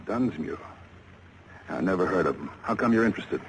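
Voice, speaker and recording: An actor playing a harried, world-weary American police lieutenant, blunt and slangy, in a 1950s radio drama. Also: male voice, low voice, expressive, moderate pace. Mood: businesslike. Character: harried, gruff male big-city police detective lieutenant. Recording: noisy vintage radio recording.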